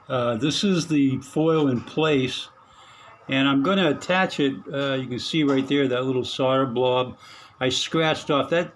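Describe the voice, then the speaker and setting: A middle-aged man at a mid pitch talks casually through his hobby radio repair. He halts often, fills gaps and chuckles softly to himself. Faint room sound sits behind him in the small spare room. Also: slight background noise. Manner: halting, many fillers, chuckles to himself. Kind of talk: casual hands-on narration.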